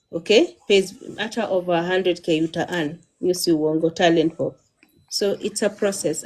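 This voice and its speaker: A woman's voice: lowish voice, darkish tone, poor audio. A young Kenyan woman hosting a live-streamed interview, switching between English and Swahili in an encouraging, coaching way. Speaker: host.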